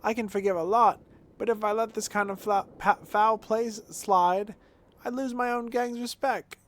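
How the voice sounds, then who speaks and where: A man's voice: high voice, steady tone, moderate pace, pitched above the performer's own voice, lightly acted. A man voicing a brash, indignant boy who leads a street gang in a video game, slangy and blustering. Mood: defensive, blustering. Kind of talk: video game dialogue read aloud.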